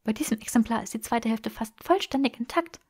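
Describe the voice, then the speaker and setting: A woman's voice: on the high side, brisk, a little mellow, slightly rough, pitched above the performer's own voice. A woman voicing an eager, bookish young woman for an audiobook, breathless and emphatic as she gushes. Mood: gushing, delighted. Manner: breathless, gushing, emphatic delivery. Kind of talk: acted audiobook dialogue.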